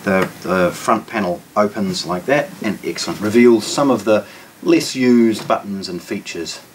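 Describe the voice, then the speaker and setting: A man in his forties with a New Zealand accent, giving an unscripted product demonstration in a measured, matter-of-fact way with short, broken phrases. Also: fairly low pitch. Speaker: shop presenter of product unboxings. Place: carpeted indoor showroom room with shelves. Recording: distant microphone, clear background noise, handling clicks.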